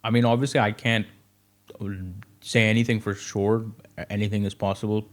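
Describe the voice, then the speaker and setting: A man's voice: fairly low pitch, little pitch movement, moderate pace. A young man from Saudi Arabia, a real estate agent, talking casually on a podcast and filling his speech with "you know.". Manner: loose and conversational, many fillers like "you know".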